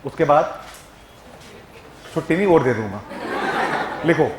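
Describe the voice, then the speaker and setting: High-voiced playful teacher, echoey classroom. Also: male voice, animated, unhurried, background noise. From Punjab. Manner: playful, teasing, quizzes students. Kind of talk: classroom grammar lecture. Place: classroom with students.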